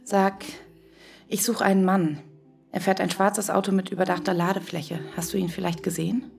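An actress playing a wounded, haunted woman in a radio play, speaking in an intimate, breathy half-whisper. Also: mid-range voice, flat delivery, moderate pace, a little mellow, faint music behind, lightly acted. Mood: guarded, probing.

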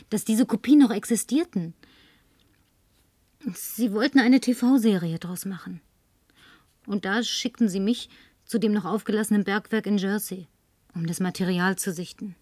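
A woman's voice: mid-range voice, a little slow, pauses often, a little mellow, no room sound, clean studio sound, lightly acted. A woman dubbing a lonely, tipsy, flirtatious woman, talking in a coaxing, whispery way that at times turns demanding. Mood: confiding. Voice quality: breathy, whispery. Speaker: advertising agency woman. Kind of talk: German film dub.

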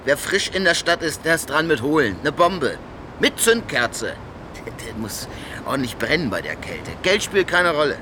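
A man's voice: on the high side, very animated, normal speed, background noise. An actor playing a nervous, bragging homeless man who drinks, rambling and repeating himself in a radio drama. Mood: agitated, commanding. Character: boastful, nervous homeless male drinker, former coal carrier. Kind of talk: German radio drama acting.

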